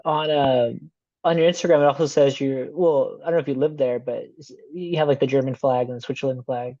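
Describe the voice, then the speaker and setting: A man hosting a podcast, asking curious questions in a relaxed, casual way. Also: mid-range voice, fairly quick, no room sound. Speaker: podcast host. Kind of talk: casual interview chat. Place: San Diego. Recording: dull dry lo-fi sound.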